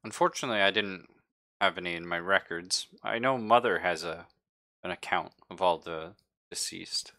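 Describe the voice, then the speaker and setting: A man playing a calm, formal ship's android officer in a tabletop sci-fi game, speaking with measured regret. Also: deep voice. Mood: measured, regretful.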